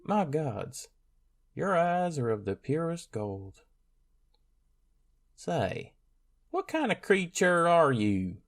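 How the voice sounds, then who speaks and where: A man's voice: a little high, sing-song, slow pace, long pauses, full character voice. A man playing a simple, friendly farmer in a fantasy audio drama, with folksy rustic speech. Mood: amazed.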